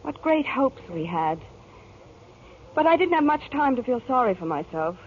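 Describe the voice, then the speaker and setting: An actress playing a devoted, long-suffering mother in a 1940s radio noir drama, speaking earnestly and sometimes haltingly. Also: sits high, big pitch swings. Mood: weary, reflective. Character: hardworking middle-aged mother, devoted, long-suffering, recounting her troubles. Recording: muffled, noisy 1940s radio broadcast.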